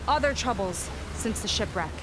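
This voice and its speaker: An actress voicing a confident young woman boat captain who talks in a calm, assured way and takes charge. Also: medium pitch, moderate pace, noisy background, lightly acted. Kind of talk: video game cutscene acting. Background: game score music.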